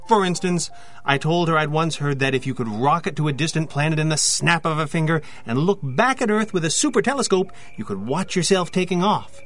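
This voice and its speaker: An actor playing an awkward, earnest photographer in an audio drama, speaking in halting, hesitant phrases. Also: male voice, a little high, expressive, fairly bright tone, faint music behind. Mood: curious. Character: awkward, earnest freelance photographer confiding a strange story.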